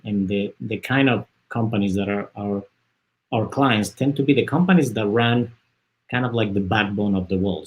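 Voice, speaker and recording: A man from southern Spain speaks as a guest on a panel video call. His voice sits fairly low. He talks fluently, though he often restarts and fills pauses as he explains. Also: a little mellow, poor audio. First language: Spanish. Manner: fluent, many fillers and restarts. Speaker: panel guest, technology executive. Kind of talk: conversational panel talk.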